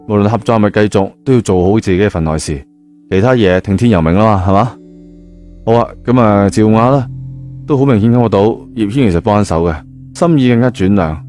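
A man's voice: on the low side, a little mellow. A man with a Cantonese accent narrating a novel in a colloquial, conversational storytelling style. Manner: conversational, with frequent spoken particles. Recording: music bed behind the voice. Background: background music.

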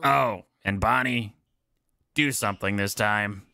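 A man's voice: on the low side, slow pace, creaky, sharp tone, full character voice. A man playing a blunt, unimpressed gym coach in an audio drama, terse and dismissive.

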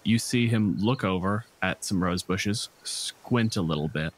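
A man's voice: low voice, measured pace. A man narrating a tabletop role-playing game as game master in a conversational way, with dryly humorous asides. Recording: clear background noise. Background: night forest ambience with crickets and insects.

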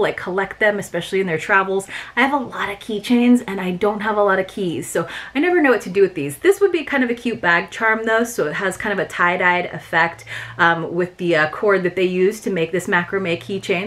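The woman you would hear in a home-filmed unboxing video, middle-aged, chatting casually to the camera with a medium-pitched voice. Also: fairly bright tone. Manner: chatty, informal, with frequent "like". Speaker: subscription box reviewer. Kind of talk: casual product unboxing chat to viewers. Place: small furnished room at home, indoors.

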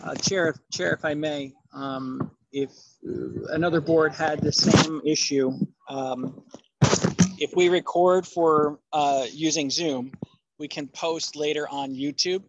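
A man on the board politely making a procedural suggestion in a meeting, speaking deliberately with fillers, over a video call. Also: a little high, fairly even intonation, dull audio. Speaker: board member.

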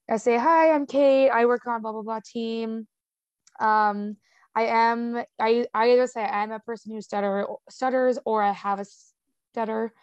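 A woman's voice: a little high, darkish tone, no echo. A young woman with a stutter, talking casually as an interview guest, with sound repetitions, blocks and lots of "um" and "like".

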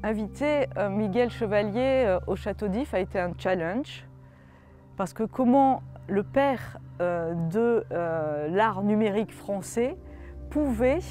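A middle-aged woman, administrator of a historic monument, speaking on camera outdoors by a stone wall, measured with frequent hesitations. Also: mid-range voice, animated, dark tone, faint music behind. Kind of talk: on-camera interview statement.

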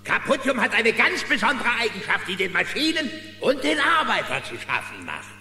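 An actor playing a pompous, greedy small-town sheriff who acts as judge in a children's cartoon radio play. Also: male voice, sits high, huge pitch swings, normal speed, pushed and bright, exaggerated voice. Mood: sly, smug. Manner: pompous, domineering. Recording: echoey hall reverb, faint music.

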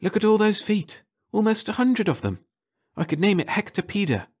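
A man's voice: sits high, sing-song, normal speed, darkish tone, breathy edge, voice pushed up, exaggerated voice. A man voicing an eager, earnest female scientist, an aquatic creature, in an audiobook. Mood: excited. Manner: earnest, wonder-struck, pleading. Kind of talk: audiobook character voice.

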